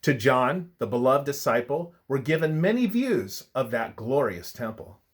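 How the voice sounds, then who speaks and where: A man reads a religious text aloud at an unhurried, measured pace. His medium-pitched voice moves widely up and down, and his solemn phrases sink at their endings. The delivery is deliberate and clearly scripted. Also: big pitch swings.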